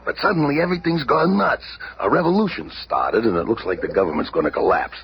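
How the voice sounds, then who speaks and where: Through the noise of an old radio show comes a man acting a tough army colonel turned spy. He is gruff and swaggering, with a gravelly voice and slangy, wisecracking delivery. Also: medium pitch, lively intonation, fast, muffled recording, lightly acted. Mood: brusque. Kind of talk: 1970s superhero radio drama acting.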